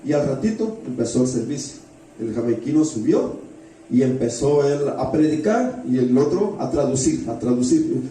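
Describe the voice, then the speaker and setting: A man's voice: mid-range voice, lively intonation, normal speed, mellow. A man preaching a sermon through stories, repeating phrases for emphasis and often putting rhetorical questions to the congregation. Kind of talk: sermon with storytelling. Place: church hall with heavy echo. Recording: very echoey hall, distant mic, faint noise.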